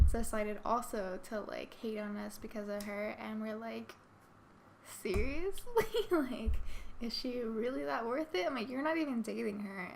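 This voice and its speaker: A woman's voice: on the high side, gravelly, slight background noise. Childlike-sounding young woman, giggly. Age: 20s. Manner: giggly gossiping, lots of "like". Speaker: podcast host. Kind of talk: casual chat.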